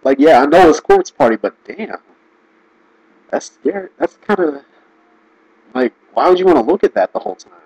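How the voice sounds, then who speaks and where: A man giving casual, rambling commentary, restarting sentences and laughing often, his voice going hoarse. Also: a little high, big pitch swings, moderate pace, pauses often, darkish tone, no room sound, low-quality recording. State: losing his voice. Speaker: video commentator.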